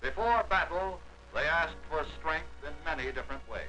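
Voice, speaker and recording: A man's voice: on the high side, expressive, bright, forceful tone, soft background music, rough recording. Man narrating a 1940s war documentary. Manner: measured, declamatory newsreel delivery.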